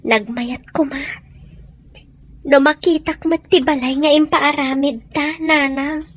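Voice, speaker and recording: A woman's voice: sits high, steady tone, unhurried. A woman playing a kind, dutiful and generous grown daughter in an audio drama. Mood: eager, caring. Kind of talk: Ilocano audio drama acting.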